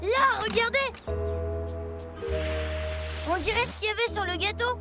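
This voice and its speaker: A woman's voice: extremely high pitch, unhurried. A woman voicing a bold, eager young truck kid in a children's cartoon, exclamatory and childlike. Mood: determined. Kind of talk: animated children's cartoon acting. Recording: loud music bed behind the voice.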